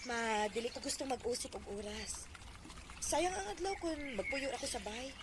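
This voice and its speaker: Casting: a woman to play a high-pitched, trusting, hardworking young Cebuana for radio drama; heavily noisy recording. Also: animated, moderate pace, natural voice. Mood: determined, earnest. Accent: Filipino, Cebuano. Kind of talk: Bisaya radio drama acting.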